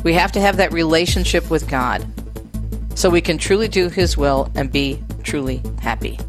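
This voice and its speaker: Radio promo speaker, woman, earnest and urging, speaking over a clear music bed. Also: deep voice, normal speed. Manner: earnest, exhorting. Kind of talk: scripted spiritual message.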